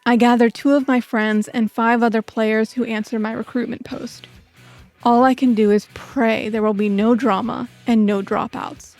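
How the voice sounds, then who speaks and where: A woman's voice: on the high side, steady tone, moderate pace, darkish tone, soft background music. A woman telling a personal story as scripted video essay narration.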